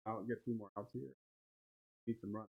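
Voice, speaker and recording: A man's voice: on the low side, little pitch movement, normal speed, breathy edge. A man acting as guest co-commentator at a game, chatting casually and informally in loose, short phrases on a muffled recording. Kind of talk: casual chat during a game. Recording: dull, muffled live commentary audio.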